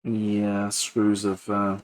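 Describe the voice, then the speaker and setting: A man giving casual hands-on repair commentary as a video host, halting and thinking aloud while he works. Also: lowish voice, completely flat delivery, slight background noise.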